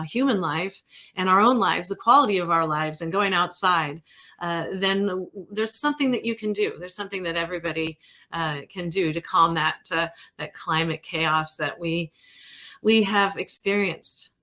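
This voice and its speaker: A woman's voice: fairly low pitch, pushed and bright. A woman hosting a casual interview in a chatty, informal way. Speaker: interview host.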